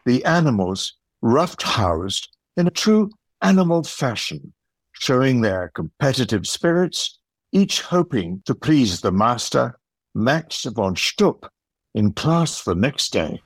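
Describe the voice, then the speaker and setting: A man narrating an audio play as a scripted storyteller, in a measured manner. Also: medium pitch, very animated, breathy edge, no echo.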